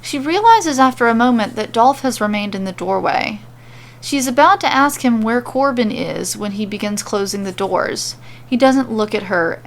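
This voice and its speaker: A woman's voice: mid-range voice, gravelly. A woman narrating scripted comedic fan fiction as a storyteller.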